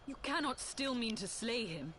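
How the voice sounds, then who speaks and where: A woman's voice: on the high side, expressive, fairly bright tone, slight background noise. An actress playing a proud, idealistic lady in a fantasy game, speaking formally and solemnly. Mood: indignant. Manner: solemn, formal, slow dramatic delivery. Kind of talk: dramatic fantasy game acting.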